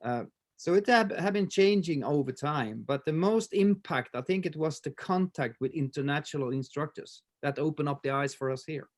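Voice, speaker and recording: Swedish-accented man in his fifties, slightly raised pitch, halting speech full of fillers; martial arts guest on a video call. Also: lively intonation. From Sweden. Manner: halting, many fillers and restarts. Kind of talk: casual interview chat.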